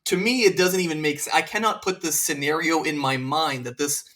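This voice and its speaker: A man's voice: on the high side, fairly quick, fairly bright tone. A man from Serbia hosting a podcast, talking earnestly and thoughtfully with many fillers. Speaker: podcast host. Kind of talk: casual conversational interview.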